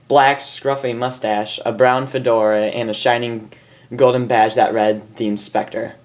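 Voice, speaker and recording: A woman reading fan fiction aloud in an amateur, halting way, stumbling over words and restarting. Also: a bass voice.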